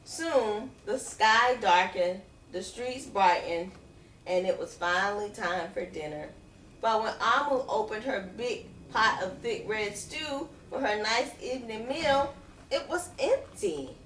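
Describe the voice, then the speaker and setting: A woman reading a children's story aloud in an expressive, dramatic way, drawing out words for effect. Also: medium pitch, takes it slowly, slight background noise. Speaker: picture book reader. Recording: roomy echo, distant from microphone.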